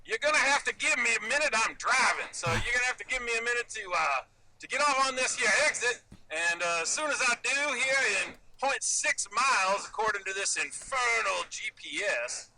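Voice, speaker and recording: Folksy hellfire preacher, acted out by a man, grandiose and grumbling, strikingly high pitch, crowd din. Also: lively intonation, brisk, rough recording. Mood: put-upon. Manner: grandiose old-fashioned phrasing, theatrical. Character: folksy fire-and-brimstone Southern preacher man, grumbling at modern gadgets.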